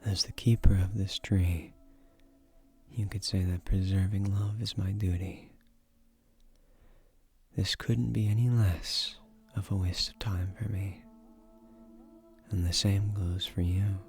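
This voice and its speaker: Casting: male actor as ancient tree guardian, male, romantic fantasy audio drama. Lowish, very slow delivery, formal, seductive, comforting, often near a whisper. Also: steady tone, darkish tone. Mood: earnest, comforting. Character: ancient male guardian spirit of a magic tree, tender, courtly suitor. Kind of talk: romantic scripted audio roleplay.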